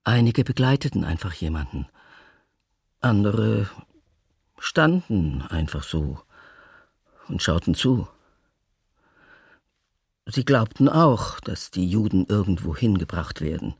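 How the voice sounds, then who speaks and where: An actor playing a grave, halting witness with a raspy, gravelly voice in a documentary radio drama. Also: male voice, low voice, very animated, darkish tone, dry recording, studio quality, exaggerated voice. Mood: grave, haunted.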